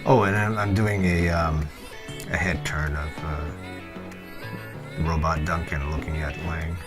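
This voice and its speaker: Low-voiced man giving a halting tutorial over guitar. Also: steady tone. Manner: hesitant, halting, thinking aloud. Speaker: digital art tutorial presenter. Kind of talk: unscripted software tutorial voice-over. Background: guitar music.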